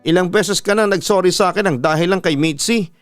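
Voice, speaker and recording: A man's voice: high voice, fast talker, no room sound, soft background music, pitched above the performer's own voice, natural voice. A man voicing a heartbroken young woman in a dramatic story, bitter, pleading and accusing. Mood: tearful, angry.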